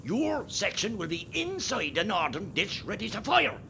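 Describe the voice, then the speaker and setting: An actor with an Irish accent playing an impatient, forceful rebel column commander giving orders in a radio play. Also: male voice, high voice, sing-song, normal speed, gravelly, slight background noise, full character voice. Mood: forceful, urgent. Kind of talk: radio play acting, shouted military orders.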